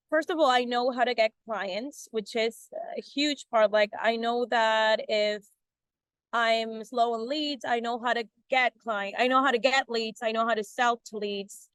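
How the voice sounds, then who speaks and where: A woman's voice: on the high side, fairly bright tone, clean tone, dry recording. A woman from Ecuador, giving a chatty testimonial about her coaching business with many fillers and restarts. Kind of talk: casual testimonial interview.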